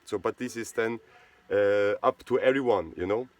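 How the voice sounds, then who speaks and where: Low-voiced man talking haltingly. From Switzerland. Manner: halting, searching for words, many fillers and restarts. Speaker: host of a charity video channel. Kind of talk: casual spoken monologue.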